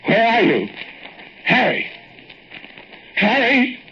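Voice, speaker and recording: An actor playing a fearful explorer in an old-time radio drama, halting in speech and florid in his dread-filled narration. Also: very high voice, very animated, sharp tone, muffled recording, heavily acted. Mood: panicky. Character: panicky, fearful cave explorer narrating his own ordeal. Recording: noisy, band-limited vintage radio recording.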